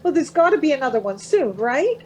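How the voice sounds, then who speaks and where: A woman's voice: high voice, very animated, fairly quick, a little mellow, a little hiss or hum. An actress playing a wry, anxious middle-aged mother of teenagers in a radio play. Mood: shaken, anxious.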